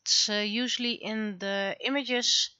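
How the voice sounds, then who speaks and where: A woman's voice: mid-range voice, steady tone, slow, pushed and bright, smooth, lo-fi sound. A woman chatting casually on a livestream as she works, thinking aloud to herself in short fragments. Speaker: livestreaming artist. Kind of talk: casual livestream chat while drawing.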